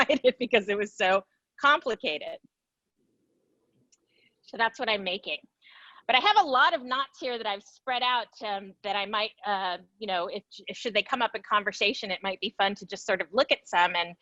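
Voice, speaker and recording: A woman's voice: medium pitch, bright, forceful tone, no room sound, muffled recording. A woman artist on a video call, explaining her knot craft with enthusiasm and frequent laughs. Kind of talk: casual explanatory talk.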